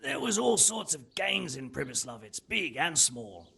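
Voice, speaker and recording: A gravelly bandit prisoner in a video game, his voice husky and rough-spoken. He is world-weary and wry, talking like a man resigned to his fate. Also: on the high side, very animated, unhurried, sharp tone, heavily acted. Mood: wry, candid. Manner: rough colloquial speech with ain't and lad. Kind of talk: dramatic video game dialogue.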